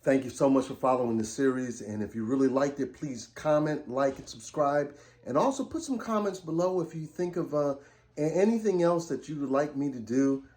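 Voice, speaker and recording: A man's voice: mid-range voice, brisk, a little mellow. A man speaking casually as a channel host, addressing his viewers.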